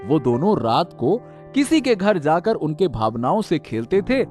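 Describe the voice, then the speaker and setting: A man's voice: on the high side, sing-song, a little slow, a little mellow, faint music behind. A man playing an earnest royal subject in a cartoon story, explaining things in a serious, urgent way. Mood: earnest, respectful. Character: earnest male subject exposing a conspiracy.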